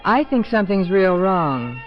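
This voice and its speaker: Sly, swooping radio femme fatale. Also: female voice, mid-range voice, big pitch swings, faint music behind. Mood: suspicious, teasing. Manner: coy, teasing, drawn-out replies. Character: sly, flirtatious woman, a crook's wife. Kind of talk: 1950s radio detective drama. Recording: muffled old radio recording.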